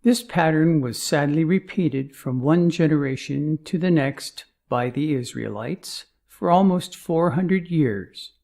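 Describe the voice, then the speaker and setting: A man narrating a scripted Bible lesson as author's notes, reading names and quotations with deliberate emphasis. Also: fairly high pitch, creaky, a little mellow.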